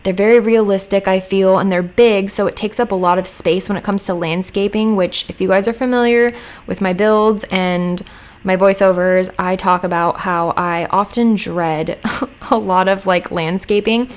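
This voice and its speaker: A young woman with a hoarse voice, chatting casually as she narrates a home-building game. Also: mid-range voice, steady tone, moderate pace. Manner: rambling, chatty, lots of "like" fillers. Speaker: Sims speed build creator. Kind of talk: casual voice-over chat. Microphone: home mic voice-over.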